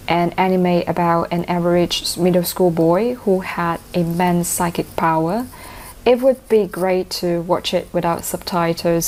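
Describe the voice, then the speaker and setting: Low-voiced woman, cantonese first language, chatty informal english answers. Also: a little mellow, a little hiss or hum. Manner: fluent, informal, uses slang. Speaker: IELTS speaking candidate. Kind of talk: answering interview questions.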